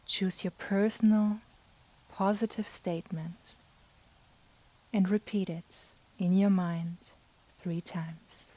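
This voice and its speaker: A woman guiding a yoga nidra meditation in a soft, breathy, soothing manner with long pauses. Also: mid-range voice, steady tone, low-quality recording. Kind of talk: guided meditation.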